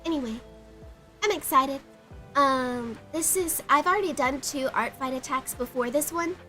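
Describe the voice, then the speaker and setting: A woman's voice: high voice, normal speed, pushed and bright. A young woman with a stuffed-up, sniffly nose, chatting giggly and apologetic while streaming her art. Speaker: art livestreamer. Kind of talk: casual live-stream chat. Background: faint background music.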